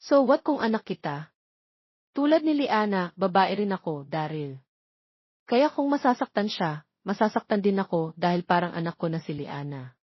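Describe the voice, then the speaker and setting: A woman's voice: mid-range voice, big pitch swings, moderate pace, slightly rough, no room sound, highs cut off. A woman voicing a stern, protective middle-aged mother scolding her grown son in an audio drama. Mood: indignant.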